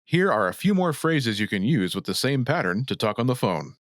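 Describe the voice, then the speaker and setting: A man hosting a language lesson, reading scripted instructions in a clear, didactic way. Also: mid-range voice, very animated, brisk, a bit bright, dry recording.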